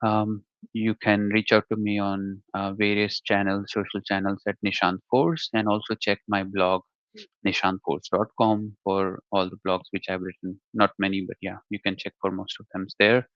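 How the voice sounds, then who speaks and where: Low, flat-voiced man hosting a webinar. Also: moderate pace, no room sound, dull audio. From India. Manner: hesitant, many fillers. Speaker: developer group leader and host. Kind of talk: webinar introduction.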